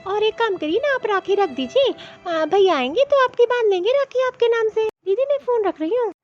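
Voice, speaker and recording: A woman's voice: extremely high pitch, animated, moderate pace, a little mellow, soft background music. A woman voicing a young daughter-in-law in a cartoon family story, offhand and defensive as she makes excuses. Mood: breezy, evasive. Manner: offhand, defensive, brushes complaints aside. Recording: dull, lo-fi cartoon voice track.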